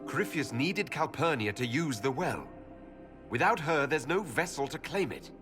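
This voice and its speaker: An actor playing a resolute elven leader in a fantasy game, speaking in a firm, measured, earnest way. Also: male voice, a little high, big pitch swings, over music. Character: resolute young elven male leader, persuasive and challenging. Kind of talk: dramatic fantasy game acting.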